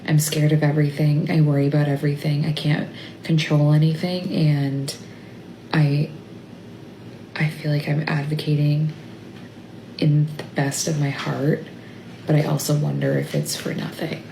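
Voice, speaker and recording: A mother speaking in a halting, tearful confessional, often trailing off and dropping to a whisper. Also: deep voice, little pitch movement, gravelly, mellow. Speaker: mother sharing a personal update. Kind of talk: emotional confessional monologue. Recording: echoey room with faint ambient noise.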